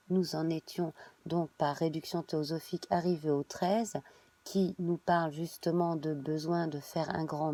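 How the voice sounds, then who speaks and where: A woman's voice: low voice, dark tone. Woman with a cold reading tarot. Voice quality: hesitant, many fillers and restarts. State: has a cold. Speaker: tarot reader.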